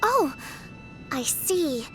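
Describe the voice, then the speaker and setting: Very high girlish voice, theatrical, slow, swooping intonation, fantasy game, faint music behind. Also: full character voice. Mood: grandiose. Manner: dramatic, ornate, expressive. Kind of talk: anime-style video game voice acting.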